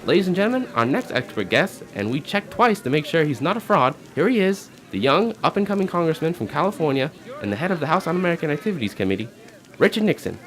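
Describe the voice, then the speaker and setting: A man acts out an excitable male newscaster from an old radio play. His voice sits high and swings widely in pitch. He is formal yet breathless and melodramatic. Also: fast, faint music behind. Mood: earnest introduction. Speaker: radio news announcer. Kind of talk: 1940s radio acting.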